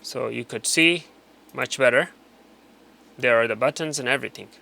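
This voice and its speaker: Man, mid-pitched, bright sharp tone, informal product instructor, unscripted explanation, rephrases and repeats. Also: normal speed, gravelly.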